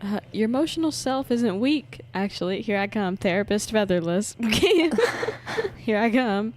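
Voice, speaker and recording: A woman's voice: medium pitch, sing-song, measured pace, slight background noise. A woman livestreaming a game, reacting casually with joking, slangy asides while music plays behind her.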